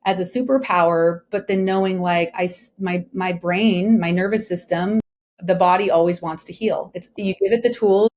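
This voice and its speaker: A woman's voice: fairly low pitch, fairly even intonation, moderate pace, darkish tone. A woman in her forties talking candidly and emphatically as a podcast guest, often checking that listeners agree. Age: 40s. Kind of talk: candid personal conversation.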